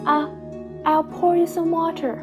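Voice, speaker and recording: A woman voices an anxious young son in a radio play. The boy is high-pitched and speaks slowly, hesitant and pleading, devoted to his parent. Music plays clearly behind the voice. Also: level tone. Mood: anxious, hopeful. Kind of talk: radio theater acting.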